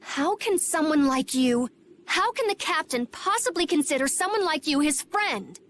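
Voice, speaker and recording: A woman's voice: sits high, lively intonation, normal speed, fairly bright tone, a little hiss or hum. An actress playing a proud, loyal young female knight who speaks in a formal, resentful, urgent way. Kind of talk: video game voice acting.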